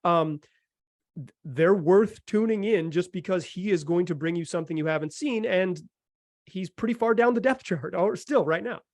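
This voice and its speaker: A man's voice: high voice, moderate pace, darkish tone, dry recording, clean studio sound. A man hosting a podcast, chatting casually and enthusiastically about sports with emphatic delivery. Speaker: podcast host.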